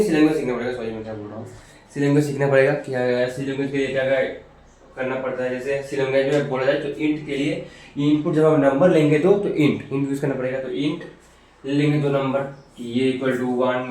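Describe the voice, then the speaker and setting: A man's voice: mid-range voice, a little mellow. Echoey, distant male programming tutor. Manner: repetitive, explains step by step with rhetorical questions. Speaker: programming teacher. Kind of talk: informal spoken tutorial explanation. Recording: very echoey room, distant mic, faint noise.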